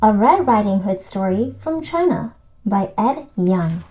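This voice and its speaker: Slow, mellow woman storyteller. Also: medium pitch, animated, slight background noise. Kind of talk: read-aloud children's story narration. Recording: music behind the voice.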